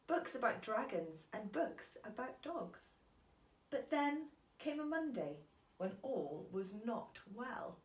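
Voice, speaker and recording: A woman reading a children's storybook aloud as a teacher, in an expressive, deliberate storytelling manner. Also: on the high side, roomy sound.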